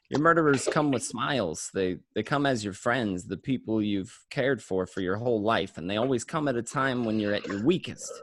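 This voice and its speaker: A man's voice: fairly low pitch, slight background noise. A man acting an anxious, weary mobster on the run in an amateur radio play, moving between confession and tense dialogue. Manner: first-person confessional narration and tense dialogue.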